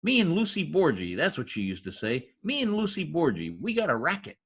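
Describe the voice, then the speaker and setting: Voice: mid-range voice, wildly swooping pitch, brisk, heavily acted. A performer in a 1940s-style radio comedy-mystery voicing a shady, wisecracking informant with slangy, ungrammatical talk. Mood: sly, wry. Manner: streetwise slangy talk with bad grammar. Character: shady, wisecracking lowlife gangland informant nicknamed Piggy. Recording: husky-sounding home recording over video call.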